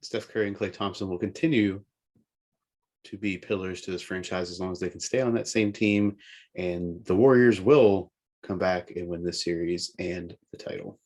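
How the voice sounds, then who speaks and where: A man co-hosting a casual sports talk show, speaking in a halting way as he works through his opinions. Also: low-pitched, a little mellow.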